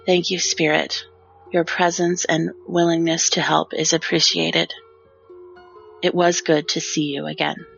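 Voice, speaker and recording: An actor playing a calm, formal spirit go-between in an audio drama, speaking in a measured, reassuring way. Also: medium pitch, gravelly, highs cut off, soft background music.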